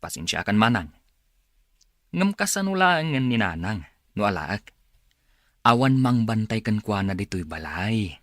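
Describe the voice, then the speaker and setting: Actor as defensive, animated Ilocano younger brother. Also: male voice, lowish voice, unhurried, long pauses, no echo, studio quality. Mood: apologetic, evasive. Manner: defensive, excuse-making. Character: working younger brother dodging family duty, defensive. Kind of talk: Ilocano radio drama acting.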